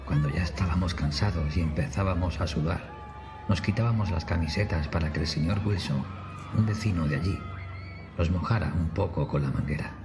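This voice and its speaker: A man with a very low voice narrates a scripted horror tale in the first person. His storytelling plays over clearly audible background music. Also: brisk.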